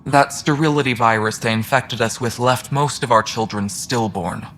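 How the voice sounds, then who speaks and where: A man's voice: mid-range voice, moderate pace, fairly bright tone, close to an everyday voice. Man as thoughtful alien engineer, faint noise behind. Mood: solemn, reflective. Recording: faint station background noise.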